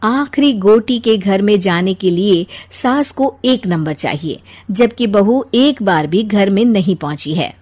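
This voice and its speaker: Slow-paced woman narrating a story. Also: medium pitch, expressive, darkish tone.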